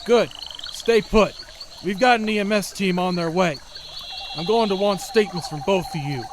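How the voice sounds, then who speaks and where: A man playing a brisk, authoritative police officer in a comic book dub, with a commanding way of talking. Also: sits high, close to an everyday voice. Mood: brisk, official. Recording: clearly noisy background.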